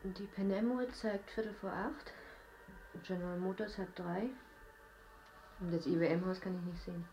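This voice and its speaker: A woman's voice: on the low side, steady tone, normal speed, mellow, slight background noise, natural voice, low-quality recording. An actress playing a plaintive woman at home, grumbling to her partner in naturalistic radio drama dialogue. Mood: wistful, reproachful.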